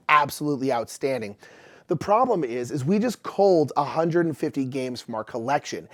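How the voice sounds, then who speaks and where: A man in his thirties hosting a board game channel, talking casually to camera in an indoor room, his voice a little rough as he gets over being sick. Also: fairly high pitch. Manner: chatty and animated, gesturing with hands. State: recovering from illness, voice a bit rough. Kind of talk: casual explanatory talk to camera.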